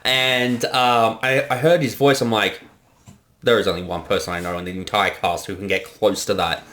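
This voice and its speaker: A man's voice: lowish voice, flat delivery, normal speed, fairly bright tone, slight background noise. Enthusiastic man giving casual commentary. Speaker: episode director and commentator.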